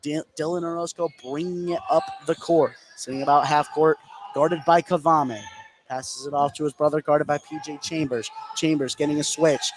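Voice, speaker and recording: A man's voice: on the high side, steady tone, moderate pace, a little hiss or hum, rough recording. A man calling live radio basketball play-by-play in a running narration that follows the action. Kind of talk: live radio sports commentary.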